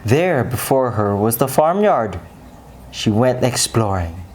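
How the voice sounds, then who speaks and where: A woman narrating a children's bedtime story expressively, with dramatic pauses and deliberate emphasis. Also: rumbling low, big pitch swings, darkish tone.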